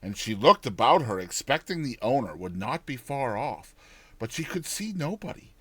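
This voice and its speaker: A man narrating a dramatized audiobook tale in an expressive, theatrical storytelling manner. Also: medium pitch, normal speed, slightly rough, no echo.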